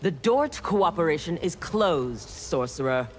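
A woman's voice: deep voice, very animated, unhurried. A woman playing a proud, cold female ninja warrior and engineer in a video game, speaking in curt, threatening lines. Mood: cold disdain. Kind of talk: video game fight intro dialogue. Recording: music behind.

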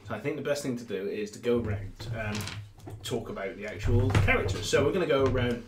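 A man's voice: animated, fast. A man running a tabletop game as game master, chatting casually with jokes and swearing. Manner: jokey, sweary, echoes others' words. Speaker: game master and host of the group's gaming recording. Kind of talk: casual joking group chat at a tabletop game. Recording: echoey room, loud thumping music-like rumble.

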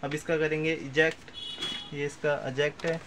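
A man with a fairly high voice presents goods for sale in a casual demonstration. He explains step by step and often repeats himself. The amateur room recording has steady background noise. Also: moderate pace. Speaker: seller presenting second-hand audio equipment.

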